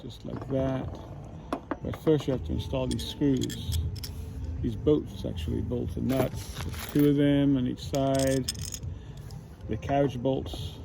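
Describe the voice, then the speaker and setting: A man's voice: medium pitch, little pitch movement, takes it slowly. A man casually thinking aloud as he demonstrates DIY hardware assembly, tentative and checking himself as he goes. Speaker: DIY van build vlogger. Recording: clear ambient noise, hardware clinking.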